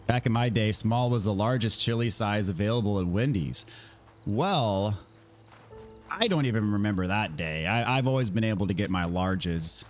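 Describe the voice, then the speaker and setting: Slightly raspy, low-pitched American male streamer. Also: expressive, normal speed, faint music behind. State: scratchy throat. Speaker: video game streamer. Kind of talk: casual livestream chat answering viewers while gaming.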